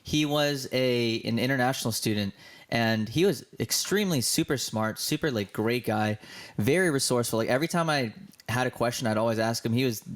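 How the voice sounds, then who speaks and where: A man's voice: mid-range voice, a little fast. A man co-hosting a casual podcast, telling a story with many fillers and restarts over a budget home mic. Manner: rambling storyteller, lots of fillers. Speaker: podcast co-host. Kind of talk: casual chat. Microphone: budget home mic, being upgraded.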